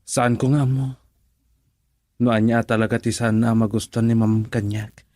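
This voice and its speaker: An actor as a young Ilocano suitor, earnest and lovestruck. His mid-pitched voice speaks in romantic, poetic phrases in radio drama style, with a close, dry sound. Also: little pitch movement, moderate pace, warm tone, no room sound, natural voice. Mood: anxious.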